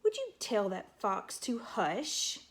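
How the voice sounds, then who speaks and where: A woman's voice: mid-range voice, very animated, takes it slowly. A woman voicing a grumpy old male porcupine who bellows and screeches scornful insults in a storybook reading.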